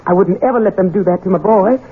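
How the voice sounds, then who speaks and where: A woman's voice: mid-range voice, fast talker, husky. A woman playing an anxious, deferential middle-aged housekeeper in a 1970s radio drama, humble and folksy. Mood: anxious, distressed. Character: anxious, deferential older housekeeper, protective mother. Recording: tinny 1970s radio recording.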